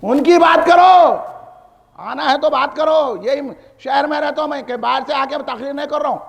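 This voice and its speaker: A man preaches in a Hyderabadi Deccani accent, his voice pitched extremely high with a gravelly edge. He is combative and emphatic, repeating phrases and firing off rhetorical questions in a fiery sermon style. Long hall reverb trails his amplified words.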